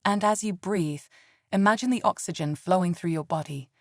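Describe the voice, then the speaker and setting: A woman leading a scripted guided meditation in a calm, soothing and gentle way. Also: on the low side, normal speed, no room sound, clean studio sound.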